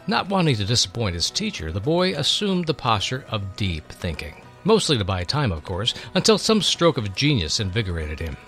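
Animated male storyteller over music. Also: lowish voice, normal speed, hardly any pauses. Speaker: host and storyteller. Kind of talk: dramatic scripted narration.

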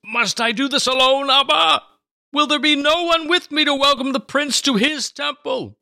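A man voicing a devout, lame old man in a dramatic reading, strained and wheezy, pleading with deep feeling. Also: moderate pace, gravelly, bright, forceful tone, no echo, exaggerated voice. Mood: desperate pleading. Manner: impassioned, pleading.

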